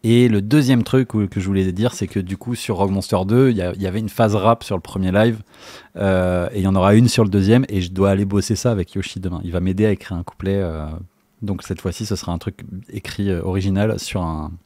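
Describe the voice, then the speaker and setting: A man chatting casually on a livestream into a streaming microphone, telling stories in an animated, informal, slangy way. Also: low-pitched, fast, no echo. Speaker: music producer and Twitch streamer.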